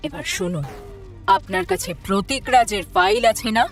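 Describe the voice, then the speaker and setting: A taunting, high-voiced hacker villain. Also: female voice, very animated, vocal fry, faint music behind. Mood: mock-friendly, taunting. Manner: mock-friendly, menacing, drawn-out teasing delivery. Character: taunting car-hacking villain making cold threats. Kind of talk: animated crime drama acting.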